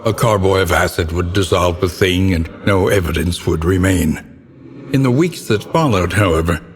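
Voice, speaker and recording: Man voicing a very deep, ominous gothic game narrator. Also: natural voice. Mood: grave, macabre. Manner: theatrical, solemn, deliberate delivery. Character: grave, ominous male narrator of gothic horror tales. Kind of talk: dramatic scripted gothic horror narration. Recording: long cavernous reverb, noticeable background noise.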